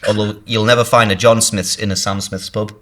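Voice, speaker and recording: Chatty North East host in a pub. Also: male voice, lowish voice, flat delivery, brisk, fairly bright tone. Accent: North East English. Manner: chatty and digressive, stumbling over words. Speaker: podcast host. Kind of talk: casual pub podcast chat.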